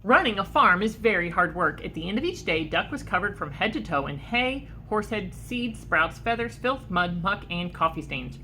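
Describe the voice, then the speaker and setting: A woman reads a children's picture book aloud in a bright, lively voice that swings widely in pitch. Her delivery is expressive and engaging, in the manner of a teacher speaking to young listeners. Faint noise sits behind her. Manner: expressive, pausing to ask listeners questions. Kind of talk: animated storybook read-aloud for children.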